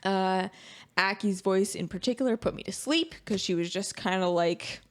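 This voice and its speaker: Woman podcast panelist, mid-pitched voice, informal and joking, casual film-review chat. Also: moderate pace.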